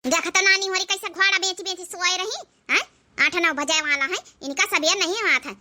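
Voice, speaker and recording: A woman's voice: very high voice, steady tone, normal speed, pushed and bright, heavily acted. A woman voicing a bossy village mother-in-law who scolds and threatens, in Awadhi-accented comic cartoon dialogue. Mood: scolding, mocking. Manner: haranguing, threatening.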